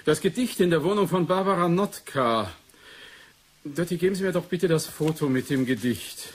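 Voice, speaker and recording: An actor playing an analytical, brooding research doctor in a German 1980s science fiction radio play, speaking in a measured, formal way. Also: male voice, fairly high pitch, lively intonation, husky. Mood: intent, explaining. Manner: measured, formal, clipped dictation.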